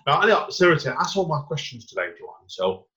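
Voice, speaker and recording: A man's voice: fairly high pitch, sing-song, a little fast, sharp tone, lo-fi sound. A man hosting a podcast, asking questions in a chatty, encouraging way. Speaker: podcast host. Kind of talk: casual interview chat.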